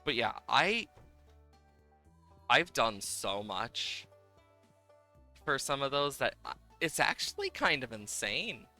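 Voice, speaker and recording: A man's voice: medium pitch, big pitch swings, takes it slowly, long pauses, bright, forceful tone. A man chatting casually and enthusiastically as he streams a game, rambling from thought to thought. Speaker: game livestream host. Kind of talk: casual chat over gameplay, reading game dialogue aloud. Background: video game music.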